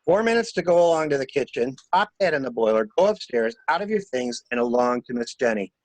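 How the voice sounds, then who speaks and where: A man reading the part of a cool, scheming traveler in a murder mystery drama, brisk and instructing with a wry, playful streak. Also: medium pitch, big pitch swings, dry recording, natural voice, rough recording. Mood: commanding. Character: cool, scheming male traveler taking charge of a crime cover-up.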